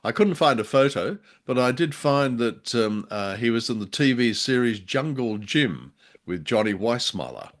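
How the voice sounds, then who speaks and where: A man's voice: mid-range voice, sing-song, moderate pace. An older man co-hosting a podcast in casual, unscripted discussion, rambling and jokey, repeating words for emphasis. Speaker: podcast co-host.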